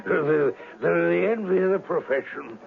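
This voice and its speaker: An actor playing a jovial, admiring saloon keeper with a gravelly voice and an effusive, chuckling manner in a radio drama. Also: male voice, a little high, expressive, measured pace, phone-quality sound, heavily acted. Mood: fond, jovial. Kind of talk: old-time radio drama acting. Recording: band-limited old radio broadcast, faint music.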